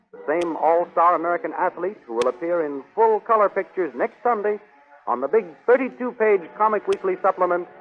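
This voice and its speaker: A man narrating a 1930s radio serial as announcer, in a dramatic, declamatory showman's manner. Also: sits high. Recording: tinny 1930s radio recording.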